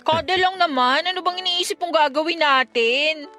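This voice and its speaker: A woman's voice: high voice, moderate pace, sharp tone, faint music behind. A woman playing a candid, affectionate young girlfriend in a radio drama, blunt and questioning in a casual way. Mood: affectionate, coaxing.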